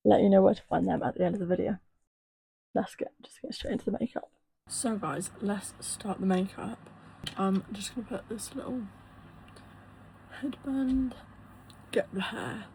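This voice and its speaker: A young woman chatting casually through a makeup tutorial, her voice a little hoarse as if it is going. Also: no room sound, poor audio. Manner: chatty, rambling, soft and low at times. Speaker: makeup artist.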